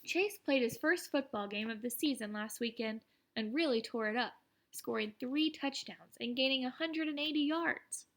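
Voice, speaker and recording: A woman reading aloud as an excitable, boastful, self-deprecating teenage schoolboy who tells his story in an animated, wry, conversational way. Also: sits high, normal speed. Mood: proud, chatty. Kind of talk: first-person audiobook narration.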